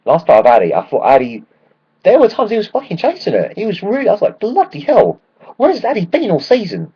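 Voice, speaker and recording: A man, hoarse from shouting at a match, ranting excitedly and swearing freely in a casual football vlog. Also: high-pitched, fast, muffled recording. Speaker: football fan vlogger.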